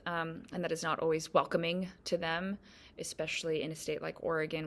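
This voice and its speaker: Low-voiced woman, thoughtful. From South Korea. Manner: thoughtful, pausing with ums. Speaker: actor introducing herself. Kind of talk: casual self-introduction.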